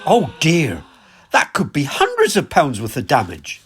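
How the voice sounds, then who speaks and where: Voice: medium pitch, huge pitch swings, measured pace, slight background noise. An amateur actor playing a fussy, exasperated village chairman with a dry comic manner in an audio play. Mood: fretful. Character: fussy, exasperated village meeting chairman named Martin, penny-minded.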